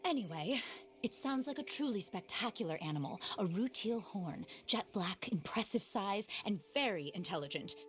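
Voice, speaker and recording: An actress playing an eccentric, passionate naturalist in a video game, with a well-spoken, theatrical, earnest delivery. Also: female voice, medium pitch, lively intonation, normal speed, faint music behind, close to an everyday voice. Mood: concerned, fascinated. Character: eccentric, passionate female frontier naturalist, indignant animal lover. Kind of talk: video game cutscene acting.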